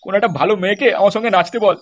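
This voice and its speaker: A man's voice: high voice, rapid-fire, close to an everyday voice. A man voicing a foul-mouthed old grandfather in an amateur comedy cartoon, grumbling coarsely and keen to dance and drink. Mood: grumbling, defiant.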